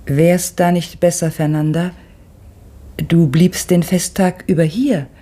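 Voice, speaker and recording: An actress playing a calm, gentle mother, speaking in a soothing, measured way in a 1960s radio drama. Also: on the low side. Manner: soothing, measured, quietly knowing.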